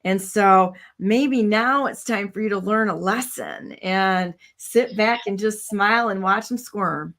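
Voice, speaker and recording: A woman's voice: medium pitch, a little slow, few pauses, a bit bright. A woman in her sixties hosting a casual chat, blunt and rambling, full of "you know" and "like". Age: 60s. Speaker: host.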